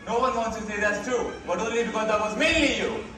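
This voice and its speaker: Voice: medium pitch, fast talker, sharp tone, natural voice. A student actor playing a grumbling, blame-shifting town councillor in a verse play on stage. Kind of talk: rhymed verse stage acting. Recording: echoey school hall from far, faint music.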